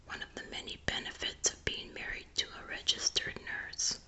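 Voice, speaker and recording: A woman reads a story aloud entirely in a whisper. Her voice is breathy and unvoiced, with a very bright, crisp hiss to it. The delivery is that of ASMR storytelling. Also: moderate pace. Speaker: audiobook reader.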